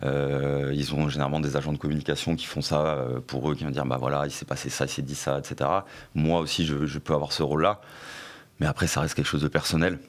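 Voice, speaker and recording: A man's voice: a bass voice, flat delivery, fast talker. A middle-aged man, a former club press officer, answering questions on a radio talk show with many fillers and restarts.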